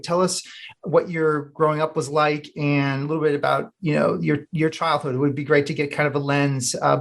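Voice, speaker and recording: A man hosting an interview, asking casual questions in a halting way and rewording them as he goes. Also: on the high side, creaky. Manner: halting, rambling, rephrases questions. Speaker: podcast host, interviewer.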